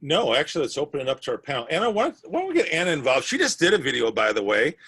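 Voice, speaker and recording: A man's voice: on the high side, huge pitch swings, racing, a bit bright, breathy edge. A man co-hosting a live webinar panel over a video call, upbeat and prompting guests with questions. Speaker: webinar co-host. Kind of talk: casual panel moderating.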